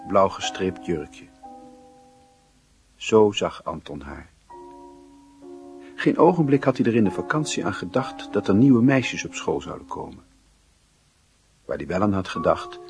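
A man narrating a radio drama in a measured, wistful literary style, pausing between phrases. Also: deep voice, a little mellow. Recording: music clearly under the voice.

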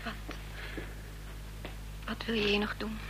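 An actress playing a devoted, anxious lover in a radio play, pleading in a breathy whisper. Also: female voice, medium pitch, monotone, takes it slowly, noisy background, natural voice. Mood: tender, hushed. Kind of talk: intimate radio play acting.

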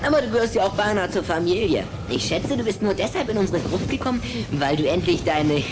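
Eine Frau spricht in einem Kinderhörspiel einen frechen, herrischen kleinen Vampirjungen, der gern neckt und prahlt. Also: female voice, medium pitch, animated, a little fast, background noise, natural voice. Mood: teasing, indignant. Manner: teasing, commanding, exclamatory. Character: cheeky, bossy little boy vampire, teasing and boastful. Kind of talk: German radio play acting for children.

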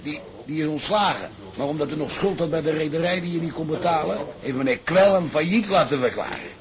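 An actor playing a bitter, resigned out-of-work tugboat sailor in a radio drama, confiding gossip in a halting way. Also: male voice, on the high side, noisy background, close to an everyday voice. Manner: halting, conspiratorial storytelling with repetitions. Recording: crowd murmur behind dialogue.

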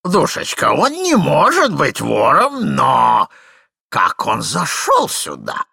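A man voicing an indignant old gentleman husband, with sputtering, emphatic exclamations in a theatrical reading of a literary story. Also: on the high side, huge pitch swings, takes it slowly, creaky, slightly rough, no echo, clean studio sound, full character voice. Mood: amused, baffled. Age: older.

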